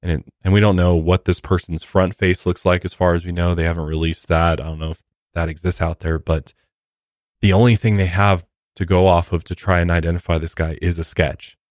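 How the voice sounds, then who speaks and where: A man's voice: deep voice, level tone, a little fast, a little mellow, dry recording, studio quality. A man co-hosting a true crime podcast, recounting case details in a casual, conversational way with blunt asides.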